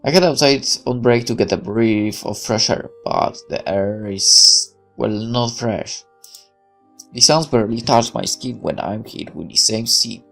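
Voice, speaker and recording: A man's voice: on the low side, unhurried, creaky, a bit bright, soft background music. A man reading a visual novel aloud as he plays, with wry asides. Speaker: game player.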